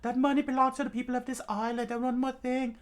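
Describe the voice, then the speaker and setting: Dude putting on a high girly voice for a bossy young navigator, way up in pitch. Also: little pitch movement, fast talker. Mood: commanding. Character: fiery young woman navigator.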